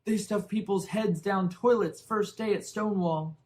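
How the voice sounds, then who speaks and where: A man's voice: high voice, normal speed, a little mellow, voice pushed up, natural voice. A man voicing a spoiled, bullying, whiny young boy in a storybook reading. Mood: taunting.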